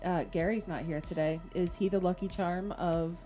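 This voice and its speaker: A woman's voice: fairly low pitch, steady tone, normal speed, dark tone, soft background music. A woman game master chatting casually on a tabletop game stream.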